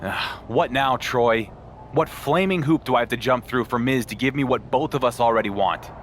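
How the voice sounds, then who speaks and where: A male actor as a defiant champion wrestler in a game cutscene. His voice is mid-pitched, blunt and dryly sarcastic, with noticeable noise behind it. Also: moderate pace, a bit bright, lightly acted. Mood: impatient, irritated. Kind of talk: video game cutscene acting.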